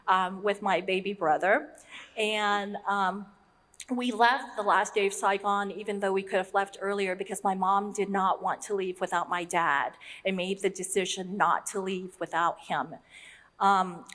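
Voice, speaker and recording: A woman from Vietnam, a nonprofit leader, telling her personal story to an audience with earnest, halting speech and frequent ums. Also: mid-range voice, a bit bright. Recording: long hall reverb.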